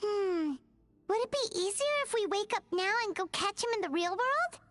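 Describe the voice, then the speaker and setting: A tiny fairy sidekick, squeaky and childlike. Her extremely high voice swoops about as she exclaims and frets, with game music behind her. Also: lively intonation, normal speed, a bit bright. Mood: questioning. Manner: refers to herself in the third person, exclamatory. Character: tiny childlike female fairy companion, excitable and anxious. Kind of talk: video game voice acting. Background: background music.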